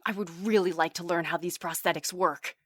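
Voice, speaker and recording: An actress playing an eager, scholarly young elf mage, chatty and a little nervous, in an audio drama. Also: female voice, fairly low pitch, steady tone, brisk, a bit bright, dry recording, clean studio sound. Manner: bubbly, nervous laughs, chatty.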